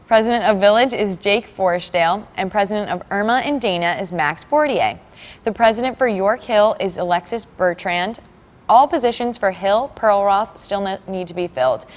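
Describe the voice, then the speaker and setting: Young woman reading campus news, mid pitch, big hall echo. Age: young adult. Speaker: student news anchor.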